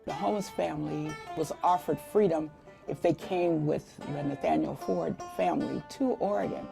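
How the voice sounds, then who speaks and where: A woman with a very low, heavily fried voice explains history in an interview style. Plucked guitar music plays clearly behind her. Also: normal speed.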